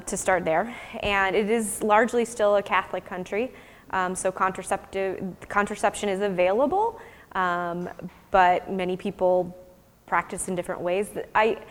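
A woman's voice: mid-range voice, normal speed. An American woman researcher giving an academic talk and answering questions informally, thoughtful and explanatory in her phrasing. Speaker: researcher presenting fieldwork and answering audience questions. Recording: long hall reverb.

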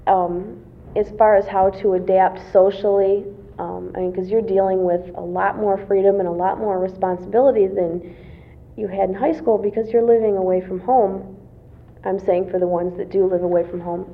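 Hesitant young woman, gravelly voice. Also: medium pitch, steady tone, normal speed. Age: 20s. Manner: hesitant, many fillers and restarts. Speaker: college student interviewee. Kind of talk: casual interview answers. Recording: tinny phone-like lo-fi recording.

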